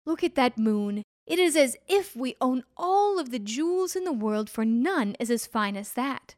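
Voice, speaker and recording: A fussy, gentle old man from a bedtime storybook, with a high, fluttering voice that climbs when he worries, as a woman storyteller puts him on. The sound is close and dry. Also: expressive, normal speed, no echo. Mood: cheerful. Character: kindly, fretful old husband who sneezed the moon.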